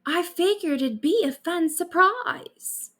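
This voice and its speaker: Woman reader doing a breezy teasing pal in a funny storybook, pitched up high and bouncy. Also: animated, takes it slowly, a bit bright, exaggerated voice. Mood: breezy amusement. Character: breezy, amused friend who owns a pet lizard.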